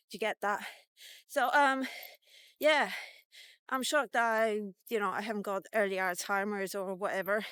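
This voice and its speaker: Slow-talking woman with a clear voice. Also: mid-range voice, pushed and bright, dry recording. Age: 50s. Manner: informal, rambling, candid. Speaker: vlogger. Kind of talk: casual walk-and-talk vlog.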